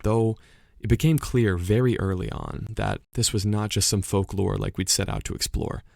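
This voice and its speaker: An actor plays an earnest man hosting an investigative audio drama. His low voice has some fry and is recorded close and dry. He narrates in a measured, reflective, slightly portentous documentary manner. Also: steady tone, darkish tone, no echo. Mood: grave, intrigued. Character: earnest male investigative podcast host from Los Angeles.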